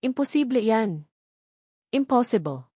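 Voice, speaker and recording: A woman's voice: on the high side, big pitch swings, unhurried, warm tone, husky, no room sound, heavily acted. A defensive, disbelieving young man as a woman narrator acts him out in a love story. He stammers and protests. The sound around the voice is dry. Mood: shocked denial. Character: shocked, defensive young man denying fatherhood.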